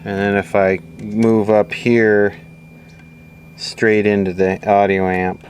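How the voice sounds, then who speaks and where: A man with a slightly low voice talks very slowly and offhandedly through a hands-on repair. He thinks aloud like a hobbyist at the bench. It sounds like amateur camera audio, with faint noise behind him. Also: little pitch movement. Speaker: electronics repair hobbyist presenting his own video. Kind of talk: casual hands-on repair commentary.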